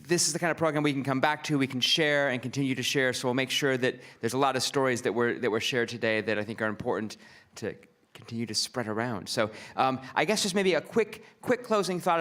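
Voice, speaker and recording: Male panel host, mid-pitched, hesitant, plenty of restarts. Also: a bit bright. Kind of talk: casual panel wrap-up.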